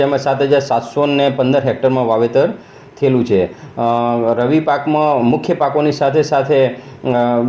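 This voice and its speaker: A man's voice: medium pitch, warm tone. A man, an official giving figures in an informal interview statement. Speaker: district agriculture officer. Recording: echoey room, dull muffled sound.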